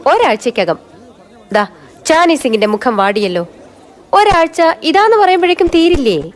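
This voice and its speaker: A young actress playing a gentle, persuasive granddaughter in a radio play. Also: female voice, high voice, sing-song, moderate pace, a faint crowd. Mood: affectionate. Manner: pleading, affectionate. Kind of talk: radio drama acting.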